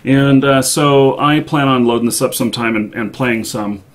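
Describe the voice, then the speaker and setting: A man hosting a live show, chatting casually about games in a halting way with pauses mid-thought. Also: medium pitch.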